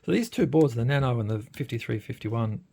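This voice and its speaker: A man giving a casual hands-on demonstration as a radio hobbyist, often hesitating and pausing mid-sentence in a creaky voice. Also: mid-range voice, animated, warm tone.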